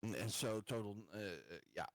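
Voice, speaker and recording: A man playing a tabletop game, talking casually and haltingly as he searches for his words. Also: on the low side, animated, gravelly.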